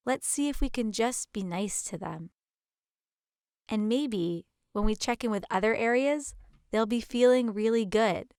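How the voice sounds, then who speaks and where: Woman, meditation guide, clear smooth voice, gentle and soothing, unhurried delivery, close dry sound. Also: medium pitch, no echo.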